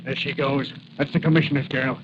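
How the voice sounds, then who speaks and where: Jumpy mob henchman, male actor, American, rough clipped delivery, muffled vintage radio drama with heavy noise. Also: medium pitch, level tone, brisk, close to an everyday voice. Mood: eager. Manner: clipped, rough slangy talk. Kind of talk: 1940s radio gangster acting. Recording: dull, noisy old radio recording.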